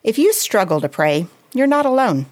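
A woman reading a scripted devotional in a measured, reflective and earnest manner. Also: a little high, sing-song. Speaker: devotional writer and reader.

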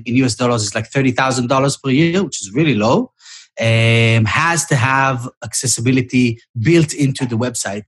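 A man's voice: medium pitch, breathy edge. A man from Israel joining a casual panel discussion, speaking hesitantly with many fillers. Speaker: podcast panelist.

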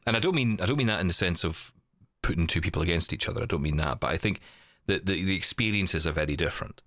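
Very deep-voiced man chatting casually. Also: animated, quick pace, a bit bright, no room sound, clean studio sound. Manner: hedging, careful, self-correcting. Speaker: podcast co-host. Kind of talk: casual reflective chat.

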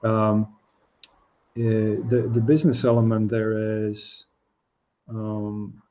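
A man's voice: lowish voice, flat delivery, slow, warm tone, highs cut off. A man from Slovakia, a native Slovak speaker, co-hosting a casual discussion and thinking aloud with frequent restarts. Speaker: podcast co-host.

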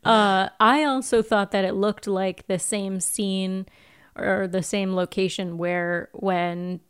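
A woman's voice: medium pitch, steady tone, slow, clear, resonant tone, dry recording, clean studio sound. A woman co-hosting a podcast, chatting informally with some teasing banter. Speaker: podcast co-host. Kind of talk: casual chat, reacting to TV episodes.

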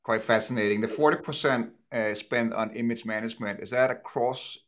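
A man hosts a podcast conversation in a formal, businesslike way. His intro sounds partly scripted, and his questions are brisk and to the point, in a mid-pitched voice. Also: steady tone, fairly bright tone. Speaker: host, company CEO. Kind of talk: podcast interview hosting.

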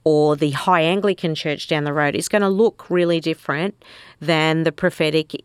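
A middle-aged woman, a worship leader, teaching conversationally and telling lively anecdotes. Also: normal speed, clean tone, no room sound, studio quality. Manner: animated storyteller, voices quoted people higher.

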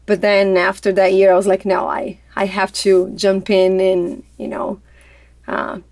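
A woman from Brazil with a Brazilian Portuguese accent, chatting in a relaxed way as a podcast guest. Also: mid-range voice, a little slow, no room sound. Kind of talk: interview conversation.

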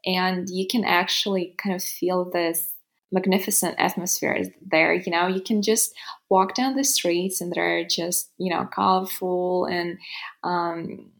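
A woman's voice: lowish voice, fairly even intonation, a little slow, gravelly. A young woman with a Ukrainian accent, a native Ukrainian speaker, answering interview questions hesitantly with many fillers. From western Ukraine. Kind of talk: casual chat.

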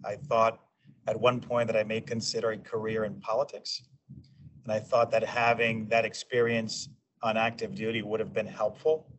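Interview guest, male, 40s, from New York, measured delivery with restarts, recorded off-mic with faint noise. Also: lowish voice, flat delivery, far from the mic. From New York, USA. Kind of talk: casual interview.

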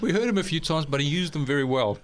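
A man's voice: a little high, lively intonation, fast talker. A man with a mixed South African and New Zealand accent hosting an interview in a studio, chatty and laughing easily. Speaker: interview host. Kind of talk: conversational interview.

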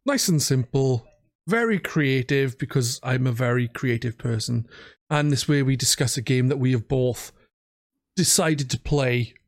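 A man hosting a podcast in casual chat, speaking haltingly and repeating words as he goes. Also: medium pitch, expressive, dry recording, studio quality. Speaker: podcast host.

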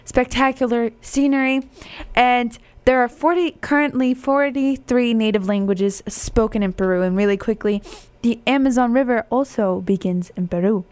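A woman's voice: a little high, measured pace, a little mellow, clear, resonant tone, no echo. A woman co-hosting a cultural program, presenting facts in Spanish and English in an upbeat manner. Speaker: co-host. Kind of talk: bilingual presenting.

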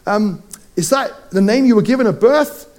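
A man's voice: sits high, moderate pace, darkish tone. A middle-aged Welsh man preaching a sermon, telling personal stories with playful asides. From Wales. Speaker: pastor.

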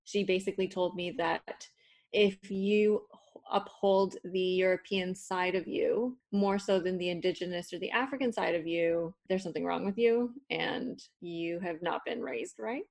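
A woman's voice: on the low side, normal speed, gravelly, darkish tone. A young woman from Toronto with a Toronto accent, whose first language is Spanish, telling personal stories in a candid, casual way with swearing and acted-out quotes. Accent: Toronto English. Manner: candid storytelling with swearing, acting out quoted people. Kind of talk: casual conversation.

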